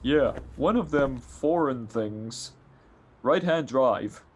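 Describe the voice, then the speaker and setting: A man's voice: medium pitch, animated, slow, a little hiss or hum. A man playing a dim, shifty, defensive ex-con in a 1940s-style detective radio play, stammering and talking in slang. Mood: cocky. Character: dim, shifty, defensive male small-time ex-con crook.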